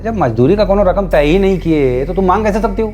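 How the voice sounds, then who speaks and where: A man's voice: high-pitched, animated, fast talker, darkish tone. An actor playing a cynical small-town policeman in a TV crime drama, brusque and condescending. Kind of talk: television crime drama acting. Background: music.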